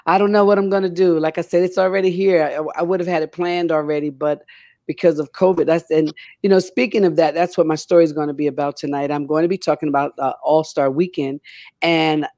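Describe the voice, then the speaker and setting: A woman's voice: low-pitched, steady tone, brisk, mellow, dry recording. Older female talk show host, emphatic and repetitive in casual panel chat, dry sound. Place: home room, indoors, on a video call.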